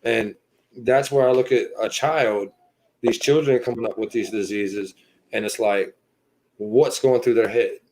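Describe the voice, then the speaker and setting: A man in his thirties telling his personal story as a podcast guest, earnest and halting, filling his pauses with "you know". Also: medium pitch, fairly even intonation, darkish tone, slight background noise, rough recording. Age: 30s.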